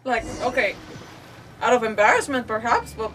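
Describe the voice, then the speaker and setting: A woman's voice: on the high side, sing-song, moderate pace, a bit bright, slight background noise. A woman game streamer giving casual, reactive live commentary in short interjections. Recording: distant mic, game music behind.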